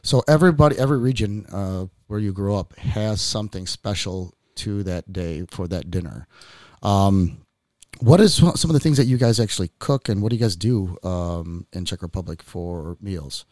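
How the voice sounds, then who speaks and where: A man's voice: low voice, animated, normal speed, a little mellow, dry recording. A man from Chicago hosting a casual podcast in a studio, talking in a rambling way with lots of restarts and fillers. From Chicago, United States. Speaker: podcast host.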